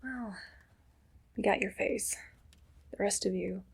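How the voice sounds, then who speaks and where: Woman acting as imperious evil queen, very breathy whisper, creaky edges, condescending, ASMR roleplay. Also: medium pitch, heavily acted. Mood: smug, condescending. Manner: slow, deliberate, imperious and condescending. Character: haughty, condescending evil queen, faintly kind to servants.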